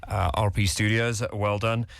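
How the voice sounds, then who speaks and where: A man's voice: on the low side, level tone, a little slow, creaky, fairly bright tone, dry recording, studio quality. A South African man hosting a radio interview, chatty and jokey, with lots of uh fillers. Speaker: radio DJ, host.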